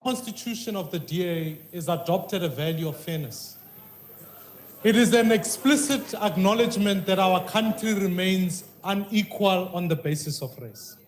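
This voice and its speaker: A man, questioning the president in formal, measured parliamentary style. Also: high-pitched. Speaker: member of parliament. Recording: echoey parliamentary chamber.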